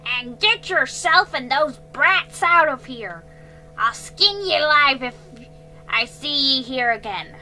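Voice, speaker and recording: A woman's voice: fairly high pitch, slow, long pauses, bright, forceful tone, faint music behind, full character voice, low-quality recording. A growling, threatening old tanner, as a woman puts him on. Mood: shouting. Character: gruff bad-tempered older male tanner, threatening.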